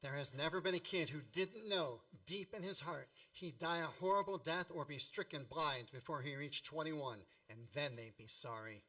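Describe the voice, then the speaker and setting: A bright, slightly high-pitched man's voice, heard from off the microphone. An actor plays a nostalgic radio-play narrator who tells boyhood troubles with wry, mock-epic grandeur. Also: moderate pace, few pauses. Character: nostalgic grown man wryly retelling his boyhood mishaps. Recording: distant microphone.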